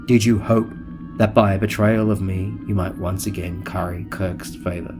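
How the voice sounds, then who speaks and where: Man playing alien starship officer, low voice, deadpan, formal, calculating, audio drama over music. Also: normal speed, lightly acted. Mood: reflective, calculating. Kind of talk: audio drama narration and dialogue.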